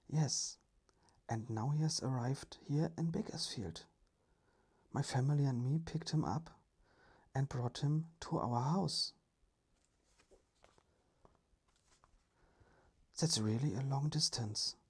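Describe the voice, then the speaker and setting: A storyteller voicing a friendly, considerate male family member in a breathy ASMR storybook whisper. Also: medium pitch, a little slow, darkish tone. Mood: polite.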